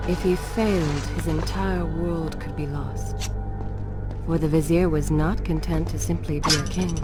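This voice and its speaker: An actress voicing a grave, poised storyteller, narrating a game's tale in a measured, solemn manner. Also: female voice, fairly low pitch, close to an everyday voice. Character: woman storyteller recounting a prince's fate, grave and poised. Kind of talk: dramatic scripted narration.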